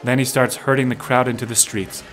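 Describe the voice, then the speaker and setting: A man's voice: medium pitch, flat delivery, fairly quick, fairly bright tone. A man narrating a scripted audio drama in the present tense. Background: music.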